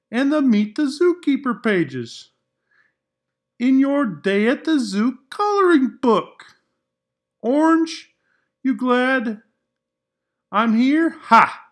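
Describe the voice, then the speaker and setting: A man's voice: very high-pitched, a bit bright, pitched above the performer's own voice, heavily acted. A man voicing a boastful orange crayon in a children's storybook, gloating and emphatic. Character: boastful, smug orange crayon claiming sun's color. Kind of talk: children's picture book read-aloud.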